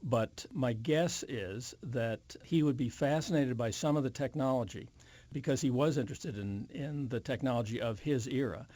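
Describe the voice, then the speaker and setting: A man's voice: mid-range voice, normal speed, dark tone, no echo. An American man in his seventies answering as an interview guest, reflective and hesitant as he chooses his words. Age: 70s.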